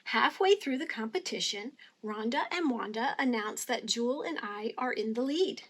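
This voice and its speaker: A woman's voice: a little high, expressive, normal speed. A woman reading a picture book aloud expressively for young children, with careful, teacherly phrasing. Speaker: storybook reader.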